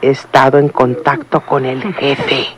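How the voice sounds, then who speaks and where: An actress playing a cold, commanding head nurse with a formal, crisp manner in an old radio drama. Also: female voice, rumbling low, flat delivery, a little slow, crowd noise. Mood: hushed, menacing. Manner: formal and crisp, then hushed and menacing. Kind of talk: 1950s-style radio drama acting. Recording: noisy old radio recording.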